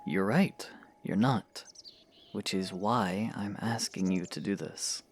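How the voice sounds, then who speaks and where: A man playing a calm, stoic young swordsman in an audio drama, patient but firm, with measured, plain speech. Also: fairly low pitch, breathy edge, soft background music, full character voice. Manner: measured, plain-spoken, understated. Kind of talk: scripted audio drama acting.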